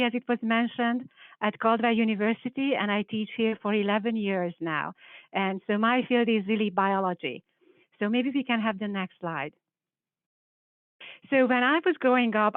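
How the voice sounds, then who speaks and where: Hungarian woman, professor presenting to teens, earnest and halting, thin telephone audio. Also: medium pitch, no room sound. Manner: hesitant, restarts phrases, earnest. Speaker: biology professor. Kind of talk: panel presentation with slides. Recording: tinny phone-like call audio.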